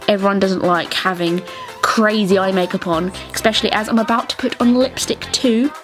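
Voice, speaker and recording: A young British woman giving a casual, chatty, enthusiastic beauty tutorial voice-over. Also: on the high side. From England. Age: young adult. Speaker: beauty vlogger. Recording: music bed under the voice. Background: clear background music.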